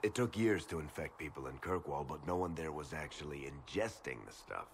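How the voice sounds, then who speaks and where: Wry tired rogue for a video game, super deep voice, swings around a lot, sardonic. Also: male voice, expressive, a little fast, slight background noise, exaggerated voice. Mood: grim, wry. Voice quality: deep, gravelly. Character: gruff wry male adventurer, world-weary but teasing. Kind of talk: video game character dialogue.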